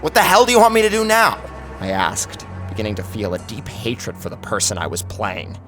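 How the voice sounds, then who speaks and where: A man's voice: on the low side, huge pitch swings, a little fast, a bit bright. A man narrating a first-person horror story in an intense, theatrical, scripted style. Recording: clear music bed under narration.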